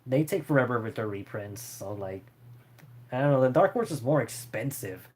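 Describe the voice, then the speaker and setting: A man's voice: medium pitch, expressive, normal speed, a little mellow, breathy edge. A man chatting casually and unscripted as a streamer answering viewer questions, in a rambling, hesitant way.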